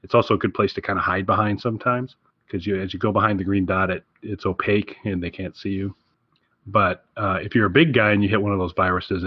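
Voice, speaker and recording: A man with a fairly low voice talks casually as a podcast co-host. He rambles along with easy enthusiasm. The sound is very dry and a little lo-fi. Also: breathy edge, no room sound. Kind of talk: casual game recommendation chat.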